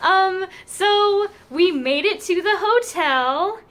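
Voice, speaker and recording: A young woman vlogging in a bubbly, giggly, excitable way, filming herself on a phone held at arm's length in a car and a small hotel room. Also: extremely high pitch, slow pace. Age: young adult. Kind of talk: casual vlog chat.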